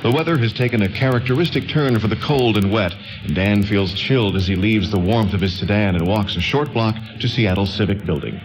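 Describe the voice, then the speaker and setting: Man narrator for a radio play, lowish voice, scripted storytelling, bit of music behind. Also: a little fast, hardly any pauses.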